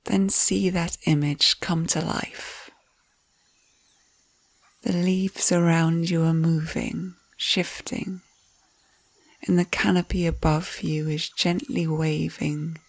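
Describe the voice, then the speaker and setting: Slow, low-pitched soothing woman guiding meditation. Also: little pitch movement, no room sound. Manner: soothing, unhurried, gentle instructions. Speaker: guided meditation narrator. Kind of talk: guided visualisation, scripted narration.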